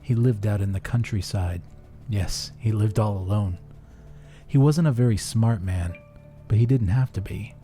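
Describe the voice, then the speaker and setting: A man narrating a rhyming folk tale as a storyteller, theatrical and expressive. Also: on the low side, unhurried, faint music behind.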